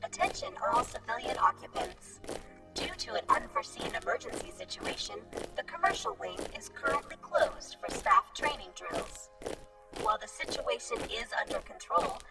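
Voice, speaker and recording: A woman's voice: very high-pitched, huge pitch swings, moderate pace, full character voice. A woman playing a formal, reassuring public-address announcer in an audio drama, reading an official notice. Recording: distant announcement under loud music.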